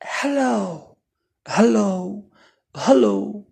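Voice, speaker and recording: Slow, curious young boy, as a storyteller plays him. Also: mid-range voice, vocal fry, slightly rough, voice pushed up, full character voice. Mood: nervous. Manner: soft, hesitant calling and whispering. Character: curious young boy, nervous but eager.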